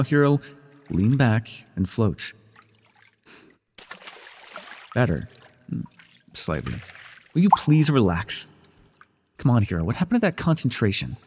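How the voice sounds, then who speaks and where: Breathy, low-voiced teasing villain mentor played by a man. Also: full character voice. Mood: teasing, flirtatious. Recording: reverberant indoor pool echo.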